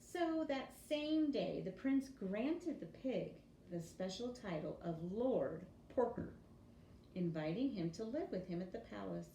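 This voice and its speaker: A woman reading a children's story aloud in an expressive teacher's storytelling style. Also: medium pitch, a little slow, gravelly. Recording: roomy echo with faint background noise.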